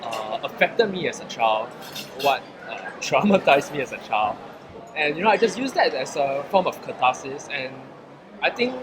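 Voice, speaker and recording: A man's voice: a little high, animated, moderate pace. Young Singaporean man, noisy food court. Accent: Singaporean English. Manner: hesitant, rambling, many fillers and restarts. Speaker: poet, interview guest. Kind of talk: casual interview chat.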